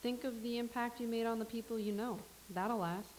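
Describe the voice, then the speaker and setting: A woman's voice: on the high side, steady tone, a little fast, darkish tone, lightly acted. An actress playing a dry, sardonic woman serving tea in a staged comedy reading. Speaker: café server character. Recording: reverberant space with faint hiss.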